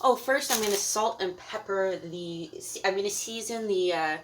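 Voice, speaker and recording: A woman's voice: mid-range voice, moderate pace, background noise. A young Canadian woman from Ontario chatting casually on a live cooking stream at home, rambling with fillers and laughing often. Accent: Canadian English. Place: home kitchen.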